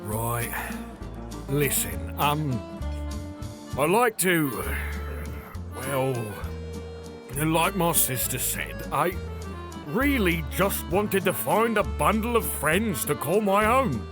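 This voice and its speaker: A bumbling ex-gang thug for an audio drama. His informal, halting speech leaps around in pitch, blustery yet sheepish. Music plays behind him. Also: expressive. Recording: music under the voice.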